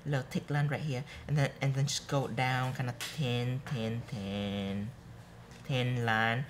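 A young man teaching a live nail art workshop, chatty and repeating steps softly as he demonstrates. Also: medium pitch, fairly even intonation, takes it slowly, noisy background. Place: bright studio classroom with students.

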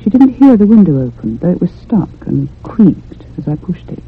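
A woman playing an anxious narrator recounting a haunting in a radio drama, in a husky, literary manner. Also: fairly low pitch, big pitch swings, a little hiss or hum. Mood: brooding, measured. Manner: literary, measured storytelling. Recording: tinny, band-limited old radio sound.

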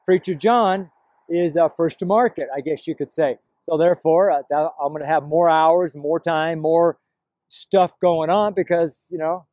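A man's voice: a little high, steady tone, normal speed, a little mellow, dry recording. A middle-aged to older American man preaching informally to camera, rambling and emphatic, repeating his phrases. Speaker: street preacher. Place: outdoors, park path beside a road.

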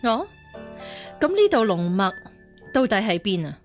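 Righteous nun master, sceptical, interrogating, lively pitch swings, radio drama, light music underneath. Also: female voice, a little slow. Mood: solemn. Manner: interrogating, accusing, authoritative. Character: stern, righteous, sceptical martial-arts nun, an elder master. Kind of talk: Cantonese radio drama acting.

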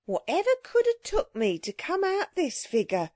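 A woman's voice: sits high, wildly swooping pitch, normal speed, a little mellow, no room sound, studio quality, pitched above the performer's own voice. A woman voicing a fat, snooty market woman in a storybook, indignant. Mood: scandalised. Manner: snorting, indignant.

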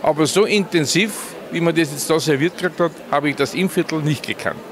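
Old upper austrian guy in his 70s, slightly high voice, chatting over crowd noise. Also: a little fast. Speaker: interviewee, local resident. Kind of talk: casual interview. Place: large indoor event hall.

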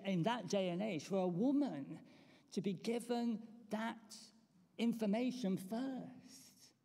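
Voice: mid-range voice, vocal fry, dark tone. A preacher giving an Easter sermon in a reflective tone, asking the congregation rhetorical questions. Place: church. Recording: long church reverb.

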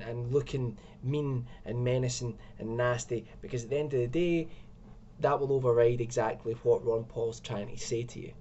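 A man's voice: medium pitch, warm tone, dull audio, slight background noise. A young man giving an exasperated, sarcastic commentary from a room at home, speaking into a webcam. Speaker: video commentator. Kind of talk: ranting video commentary.

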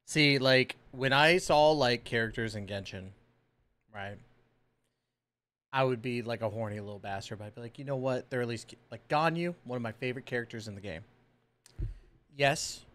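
A man in his thirties giving excited, slangy, casual reaction commentary as a streamer, close on the mic. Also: mid-range voice, normal speed, long pauses. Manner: animated, exclamatory, slangy. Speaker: reaction video host, streamer. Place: small indoor home room with shelves. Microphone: close microphone on boom arm.

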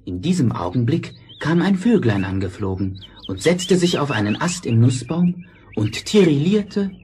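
A man's voice: mid-range voice, sing-song, normal speed, a little mellow, faint music behind. A man narrating a scripted fairy tale for children in an audio play.

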